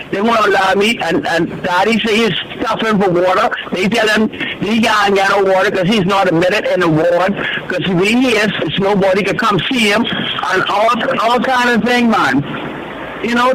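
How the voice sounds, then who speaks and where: A man's voice: high voice, background noise. A man with a Bahamian accent calling a radio phone-in, complaining informally in an emphatic, repetitive way.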